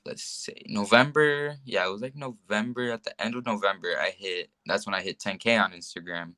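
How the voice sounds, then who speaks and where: A teenage boy tells casual stories in a crisp, fairly low voice. He rambles and peppers his talk with "like". The sound is close and dry, with a bright edge. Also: a little fast, no echo. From United States. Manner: rambling, says "like" very often. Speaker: guest, young social media influencer. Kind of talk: casual chat, telling personal stories.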